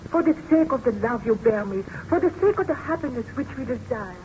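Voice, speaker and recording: Earnest, pleading female lover, played by an actress, high-voiced 1930s radio melodrama, muffled with faint noise. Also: animated, brisk, a little mellow, lightly acted. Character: pleading, distraught young woman in love, firm-willed. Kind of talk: 1930s radio drama acting.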